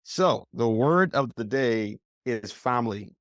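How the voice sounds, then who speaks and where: A man's voice: mid-range voice, lively intonation, slow, no echo. Young man, podcast guest, eager and rambling, mid-range voice, dry close mic. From Bahamas. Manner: eager, rambling, heavy use of like and you know. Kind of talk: casual interview storytelling.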